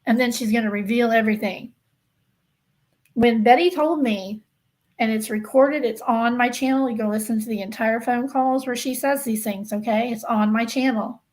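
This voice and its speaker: A woman's voice: fairly high pitch, normal speed, darkish tone, poor audio. A woman hosting a casual livestream, talking emphatically and insistently to her viewers and repeating herself to drive points home. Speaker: livestream host of a true-crime gossip channel.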